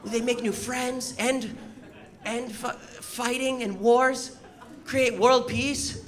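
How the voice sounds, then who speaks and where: An American man in his thirties doing stand-up comedy, telling stories in a casual, animated way and acting out bits of dialogue. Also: very high voice, slow. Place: indoor comedy show on stage before an audience. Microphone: handheld microphone. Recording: live stage mic, loud audience noise. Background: audience laughter and noise.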